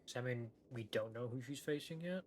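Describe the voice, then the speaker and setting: A man's voice: mid-range voice, a little fast, slight background noise. A man giving casual, flippant live commentary as he reacts to a video game. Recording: game music behind.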